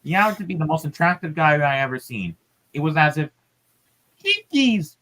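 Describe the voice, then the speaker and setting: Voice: medium pitch, fast talker, fairly bright tone. A person reading a story aloud as host, dramatically, with casual asides.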